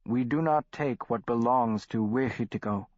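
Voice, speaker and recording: Man voicing solemn old shaman, measured, dry dull audiobook recording. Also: medium pitch, darkish tone, no echo, natural voice.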